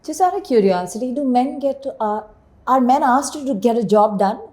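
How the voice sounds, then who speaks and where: Curious woman host, warm tone. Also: a little high, expressive, brisk. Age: 40s. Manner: brief, curious prompts and short acknowledgements. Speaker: talk show host. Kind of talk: interview questions.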